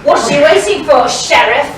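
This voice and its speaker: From far off in a very echoey hall, a woman plays a bold pantomime outlaw hero. The delivery is theatrical and teasing, high and full of swoops. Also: expressive, measured pace. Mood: bold, taunting. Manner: theatrical, heroic, declamatory. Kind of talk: stage pantomime acting. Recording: very echoey hall, distant mic, clear noise.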